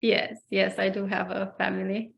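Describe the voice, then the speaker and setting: A woman's voice: medium pitch, level tone, moderate pace, vocal fry. Chatty Romanian woman in her forties. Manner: friendly, chatty, laughs easily. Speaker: guest, personal development coach. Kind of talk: interview answers. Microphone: video call.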